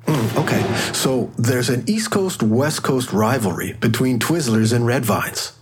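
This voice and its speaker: A man's voice: mid-range voice, measured pace, background noise, lightly acted. An actor playing a quirky, chatty male medical examiner in an audio drama. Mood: playful, eager. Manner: quirky, animated. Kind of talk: full-cast audio drama acting.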